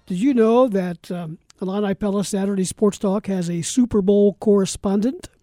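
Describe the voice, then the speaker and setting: Male radio host, casual sports talk, mellow tone, dry close studio sound, faint music. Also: high voice, moderate pace, dry recording. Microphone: studio broadcast microphone.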